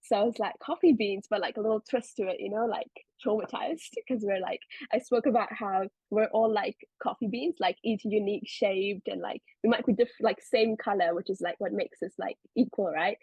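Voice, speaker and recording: A woman's voice: medium pitch, moderate pace, few pauses, darkish tone, husky, no room sound, rough recording. A young woman with a South African accent, a podcast guest telling stories in a chatty way, full of "like" and "um". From India. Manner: chatty, many fillers like "um" and "like". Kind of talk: casual interview chat.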